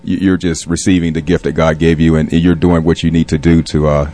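Male interview guest, extremely low voice, polite, earnest, conversational. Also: flat delivery, fairly quick, dark tone. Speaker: police captain, interview guest. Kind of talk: casual radio interview. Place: radio studio.

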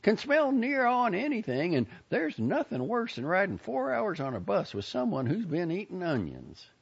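Man doing a nosy older Southern lady, very high pitch, swooping, pious, folksy, self-satisfied, storybook character. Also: wildly swooping pitch, normal speed, creaky, slightly rough, lo-fi sound, full character voice. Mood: smug. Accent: American South.

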